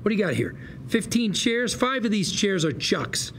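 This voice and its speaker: A man's voice: high-pitched, big pitch swings, moderate pace, a bit bright, a little hiss or hum. A man playing a scrappy, indignant lawyer at a script read, sarcastic, combative and theatrical. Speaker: actor at a script table read. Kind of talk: acted script read at a table.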